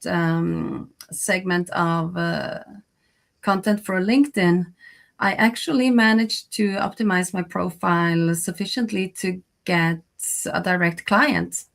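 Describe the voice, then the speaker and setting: A woman's voice: lowish voice, steady tone, creaky. A Norwegian woman, a freelance translator, speaking as a guest on a video call, hesitant with many fillers. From Norway. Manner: slow, hesitant, many "uh"s, laughs easily. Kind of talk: interview answers.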